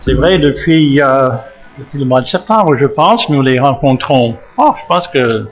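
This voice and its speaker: A man in his seventies from Massachusetts with an American accent, a retired missionary pastor speaking French with many fillers and restarts and gentle jokes. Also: medium pitch, expressive, highs cut off. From United States, Massachusetts. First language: English. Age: 70s. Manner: halting, frequent fillers and restarts, gently joking. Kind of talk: church talk introducing himself.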